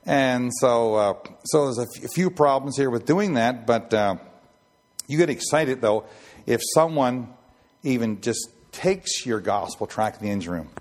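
A man in his sixties with a raspy voice, giving an informal Bible teaching and rambling with many fillers and restarts. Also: medium pitch, measured pace. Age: 60s. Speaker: ship chaplain preacher.

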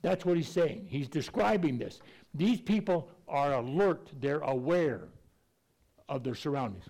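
A man's voice: fairly high pitch, big pitch swings, a little slow, creaky, darkish tone. A man preaching a conversational Bible teaching, folksy and plainspoken, with an occasional stutter and restarts.

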